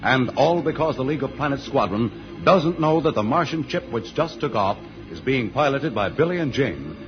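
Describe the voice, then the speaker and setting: Dramatic male radio announcer over loud music. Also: medium pitch. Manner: grand, suspenseful, declamatory. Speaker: radio serial announcer and narrator. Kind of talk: dramatic 1950s radio narration. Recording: muffled vintage radio recording.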